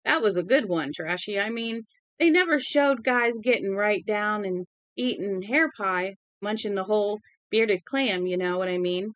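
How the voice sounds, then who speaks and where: A woman's voice: mid-range voice, fairly even intonation, moderate pace, dry recording, close to an everyday voice. A woman voicing a small, drunk, volatile young Southern man in a novel, crude, profane and threatening. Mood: crude bragging.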